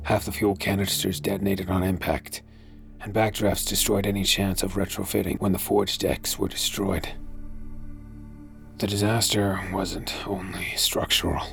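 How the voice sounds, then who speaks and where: A man's voice: deep voice, little pitch movement, a little slow, vocal fry, a bit bright, exaggerated voice. An actor playing a pirate in an audio drama, who narrates his own story in the first person and speaks in character. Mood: grave. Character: urgent male pirate war profiteer narrating his own tale. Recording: music behind.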